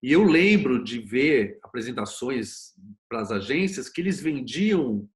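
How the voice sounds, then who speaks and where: Animated Brazilian man, emphatic and colloquial. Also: medium pitch, a little slow, soft background music. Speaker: interview guest, producer and screenwriter. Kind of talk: casual discussion.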